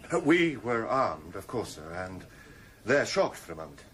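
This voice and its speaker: A man playing a tough British police detective in a radio drama, measured, controlled and matter-of-fact. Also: fairly low pitch, big pitch swings, fairly bright tone, slight background noise. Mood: sober reporting. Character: steady, tough male police detective in command. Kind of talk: 1990s radio drama acting.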